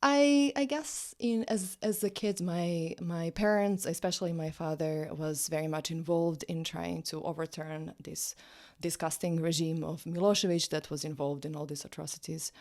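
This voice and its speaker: A woman in her late thirties from Serbia with a Serbian accent, a guest chatting in an interview, cheerful and quick to laugh. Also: sits low, fairly even intonation, darkish tone. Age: 30s. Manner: cheerful, laughs often, restarts words. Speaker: guest, social psychology professor. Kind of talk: casual interview chat.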